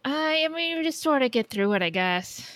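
A woman's voice: high voice, lively intonation, normal speed, fairly bright tone, no room sound. A woman co-hosting a podcast in casual chat, with a blunt, opinionated way of talking. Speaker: podcast co-host.

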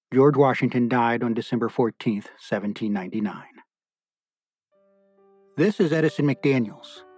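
A man working as an audiobook narrator reads scripted text in a formal, measured and solemn manner. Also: mid-range voice, darkish tone.

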